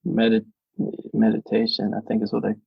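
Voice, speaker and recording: A man's voice: lowish voice, completely flat delivery, a little fast, creaky, highs cut off, a crowd in the background. A man co-hosting a casual podcast, laid-back, giving short replies and prompting questions. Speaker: podcast co-host. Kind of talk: casual conversation.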